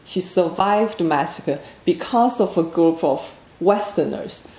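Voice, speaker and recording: A woman's voice: fairly low pitch, a little slow, a little mellow, roomy sound, rough recording. A woman from China with a Chinese accent, an author answering interview questions in a plain, matter-of-fact way.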